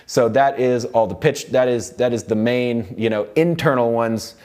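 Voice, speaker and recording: A young man teaching music production in a studio, explaining software in an informal, rambling way. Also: medium pitch, moderate pace. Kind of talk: casual software tutorial. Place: indoor recording studio.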